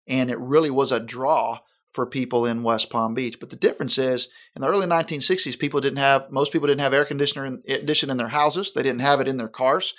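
A man, a pastor speaking in an earnest, measured way and slowing down for emphasis in a conversational interview. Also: mid-range voice, fairly even intonation, fairly bright tone. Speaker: senior pastor.

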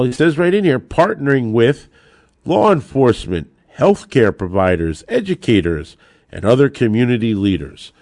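A man's voice: medium pitch, no echo, studio quality. An American man hosting a talk podcast, giving emphatic, opinionated commentary with sarcasm and rhetorical questions that he answers himself. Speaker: podcast host and lawyer.

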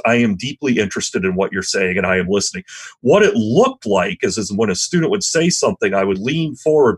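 Husky, deep-voiced man, thoughtfully self-critical. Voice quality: loud voice. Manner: thoughtful, self-deprecating, frequent restarts. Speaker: history teacher. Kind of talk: reflective interview answer.

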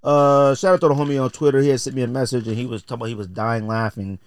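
A man's voice: mid-range voice, fairly even intonation, rapid-fire, smooth, no echo. An American man hosting a podcast with casual, opinionated commentary, talking in slang with a blunt, joking, theatrical delivery. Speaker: podcast host.

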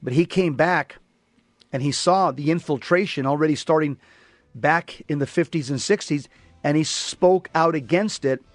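A man's voice: a little high, moderate pace, no echo, soft background music. An American man hosting a talk radio show, giving emphatic monologue commentary in which he repeats and restates his points.

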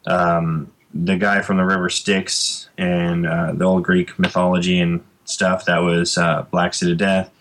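A man's voice: low-pitched, flat delivery, measured pace, few pauses, fairly bright tone. A man in his late thirties, a comic book creator chatting casually as a podcast guest, rambling with many fillers. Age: 30s.

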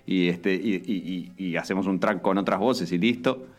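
Deep-voiced man chatting. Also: normal speed. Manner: rambling, many fillers and restarts. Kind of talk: casual podcast chat.

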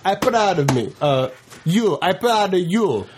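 A man's voice: high voice, sing-song, measured pace, reverberant, background noise. A man voicing a blunt, earnest Russian sidekick with a Russian accent and stilted, broken grammar, in comic podcast banter. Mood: earnest, halting.

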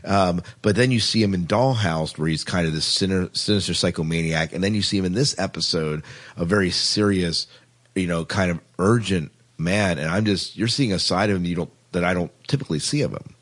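A man's voice: low voice, brisk, fairly bright tone. A man hosting a casual podcast chat about television, informal and enthusiastic in the way he talks. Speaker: podcast host.

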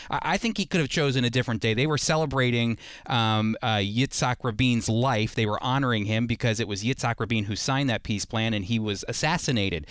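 A man's voice: medium pitch, a bit bright, smooth, no echo, clean studio sound. A man hosting a radio program, teaching the Bible in a conversational, earnest and emphatic way, repeating phrases for emphasis.

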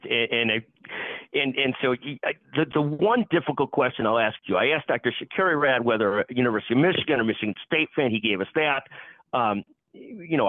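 Halting male interviewer on a lo-fi line. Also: mid-range voice, no room sound. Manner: halting, repeats words as he goes. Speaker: podcast host interviewing guests. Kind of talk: conversational interview.

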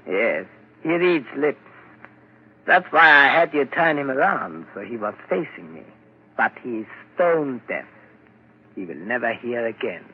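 An actor playing a calm, measured doctor in a 1940s radio drama, with a husky voice. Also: male voice, mid-range voice, exaggerated voice. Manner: slow, measured and soothing. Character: calm male asylum doctor. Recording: dull old radio recording.

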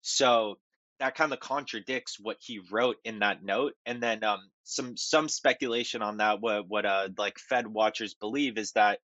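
A stuffy, nasal man talks through market news in a halting podcast chat, full of ums and restarts. His voice is bright and forceful but a little low. The recording is dry and slightly dull. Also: dry recording. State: nasal from a cold. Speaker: podcast co-host. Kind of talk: casual market commentary.

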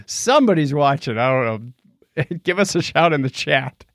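A man co-hosting a science and tech podcast, chatting casually through news items in a jokey, animated way. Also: on the high side, normal speed, no echo, clean studio sound. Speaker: co-host of a science and tech show. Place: home or podcast studio with acoustic foam.

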